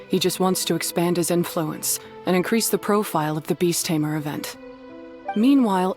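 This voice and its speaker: A woman's voice: lowish voice, close to an everyday voice. A woman playing a hardened, bitter retired mercenary in an anime game, speaking with firm, cynical resolve. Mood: distrustful, bitter. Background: music.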